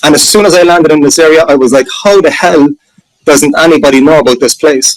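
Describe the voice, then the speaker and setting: Man, Irish accent, enthusiastic, chatty, self-correcting, slightly high, dry close recording. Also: fast, no echo, poor audio. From Ireland. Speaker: booking manager presenting his sailing resort. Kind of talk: casual presentation on a video call.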